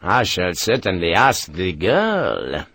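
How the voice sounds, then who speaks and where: A man voicing a smug, mocking French cavalry colonel who speaks in slow, measured, sly phrases. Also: deep voice, huge pitch swings, creaky, a bit bright, no echo, clean studio sound, pitched below the performer's own voice, heavily acted.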